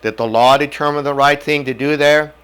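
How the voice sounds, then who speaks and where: An older man from North Dakota whose first language was German, giving a measured lecture on medical ethics in a classroom on a lapel mic. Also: fairly high pitch, steady tone, fairly bright tone, clean tone. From North Dakota, USA. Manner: measured, plain-spoken teaching with homely examples. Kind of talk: whiteboard lecture. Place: indoor classroom in front of a whiteboard.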